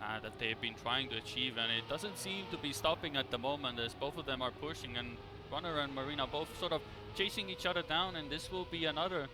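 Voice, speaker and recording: A man giving live, conversational esports commentary in a halting, rambling way as he follows the play. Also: on the high side. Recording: clear background noise.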